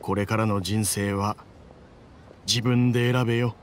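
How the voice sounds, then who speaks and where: A man as a rough-talking, boastful desert father. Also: on the low side, steady tone, unhurried, slight background noise, close to an everyday voice. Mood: regretful, affectionate. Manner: rough, casual, teasing speech. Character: gruff, boastful, tender-hearted adoptive father, a desert mercenary leader. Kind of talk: voiced letter monologue in game cutscene.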